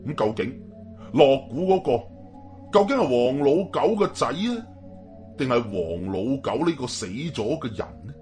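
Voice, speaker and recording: A man's voice: mid-range voice, very animated, normal speed, a little mellow, faint music behind. A man with a Hong Kong Cantonese accent telling a story in a colloquial, suspenseful, dramatic narration.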